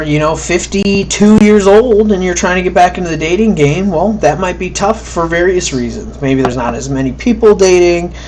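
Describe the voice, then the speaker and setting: A man's voice: fairly high pitch, darkish tone, a little hiss or hum, low-quality recording. A man giving a casual, conversational recorded lecture, often checking in with "right?" and acting out quoted thoughts. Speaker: college instructor.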